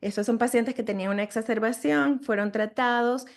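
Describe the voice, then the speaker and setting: Gravelly Venezuelan woman giving a lecture. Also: fairly high pitch, fairly quick. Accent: Venezuelan Spanish. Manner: conversational, many fillers and restarts. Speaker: sleep medicine physician lecturing. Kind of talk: webinar lecture.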